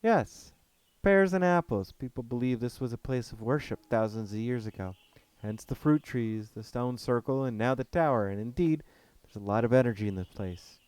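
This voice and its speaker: A man reading a story aloud, voicing a calm but bitter male forest guardian with a harsh German accent who speaks loudly and earnestly. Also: mid-range voice, normal speed, clean tone, no echo, pitched above the performer's own voice, natural voice. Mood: earnest, preaching. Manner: earnest, loud, preaching.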